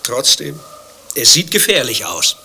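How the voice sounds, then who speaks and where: An actor playing a prim, touchy, nervous gentleman visiting a zoo in a radio play, fussy and stiffly formal in his speech. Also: mid-range voice, very animated, measured pace, vocal fry, a little hiss or hum, exaggerated voice. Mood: wary, curious.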